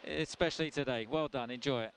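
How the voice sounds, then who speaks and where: A man's voice: medium pitch, fast, rough recording. A man giving congratulations over a racing team radio. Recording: team radio with faint noise.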